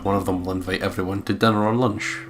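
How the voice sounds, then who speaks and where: A man's voice: low voice, level tone, fast talker, background noise. A man co-hosting a let's play, switching between sweary, joking banter and reading visual novel narration aloud. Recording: background guitar music under the voice.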